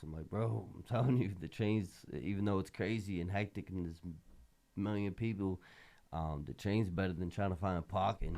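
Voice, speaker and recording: A man's voice: deep voice, mellow. A man with a Boston accent, a podcast host talking casually in street slang with an emphatic, rambling flow. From Boston area, Massachusetts.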